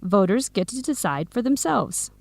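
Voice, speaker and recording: A woman's voice: mid-range voice, sing-song, normal speed, gravelly, dry recording. A woman acting an earnest young nonprofit worker and affectionate friend in a scripted radio drama. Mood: earnest, explaining.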